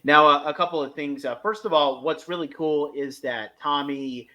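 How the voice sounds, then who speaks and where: A man hosting a casual fan discussion, speaking in halting stretches with emphatic bursts. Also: on the high side, sharp tone. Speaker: podcast host reviewing a fan audio drama.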